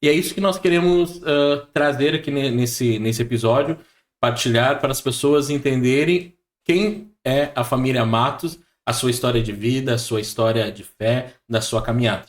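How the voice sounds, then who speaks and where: A man hosting a relaxed podcast interview, asking slow, hesitant questions full of fillers. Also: medium pitch. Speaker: podcast host. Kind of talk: casual interview.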